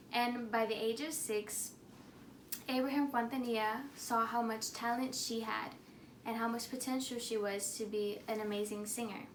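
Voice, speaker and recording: A woman's voice: a little high, recorded from a distance. A young woman giving a presentation speech, earnest and reading from notes with small slips. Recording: echoey room, voice from off the mic, noisy.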